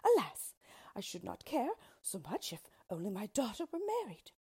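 A woman voicing a frightened queen and mother who pleads in a high, crying, desperate voice. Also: very animated, fast, dark tone, breathy edge, heavily acted. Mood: pleading.

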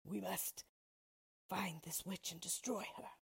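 A woman's voice: on the low side, fairly even intonation, slow, vocal fry, husky, full character voice. A woman voicing a cowardly male lion in a storybook reading, speaking in a raised, pleading voice. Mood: resolute. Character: timid male lion, cowardly but loyal.

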